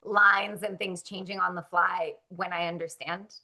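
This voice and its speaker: A woman's voice: on the low side, level tone, unhurried, bright, forceful tone. A woman born in Russia, an actress chatting casually in an interview, upbeat and full of likes and ums.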